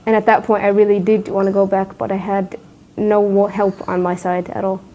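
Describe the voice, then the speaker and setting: A young woman in her twenties, from the United States, answers interview questions in a flat, mellow voice. She speaks softly and hesitantly, often leaning on the word "basically". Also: mid-range voice, moderate pace, muffled recording. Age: 20s. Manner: soft, hesitant, repeats "basically". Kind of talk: recorded interview.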